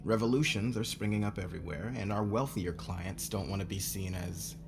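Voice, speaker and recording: Man acting low-voiced, demanding, hot-tempered boss. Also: a little fast, vocal fry, noisy background. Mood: defensive, guarded. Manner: curt, sarcastic, commanding. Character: demanding, hot-tempered male company boss in a fantasy empire. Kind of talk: ASMR roleplay acting.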